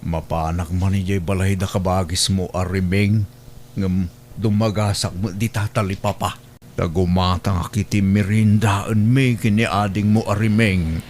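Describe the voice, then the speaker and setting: Comedy drama role, male actor: pleading Ilocano moocher, fairly deep voice, faint noise and ambience behind. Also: steady tone, a little slow, a little mellow. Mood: casual. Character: wheedling, sponging male relative begging for money.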